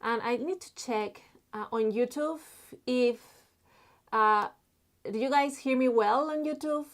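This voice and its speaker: Slow-talking woman from Spain. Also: fairly high pitch. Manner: halting, many fillers, reads chat messages aloud. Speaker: artist live streaming while drawing. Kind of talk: casual live stream chat.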